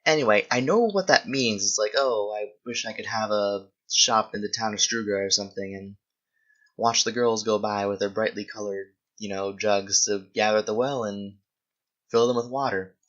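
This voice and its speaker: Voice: medium pitch, moderate pace, fairly bright tone, highs cut off. A young adult speaker chatting casually as a video host, rambling, thinking aloud and often self-correcting. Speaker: video host answering viewer questions while gaming. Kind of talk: casual rambling gaming chat.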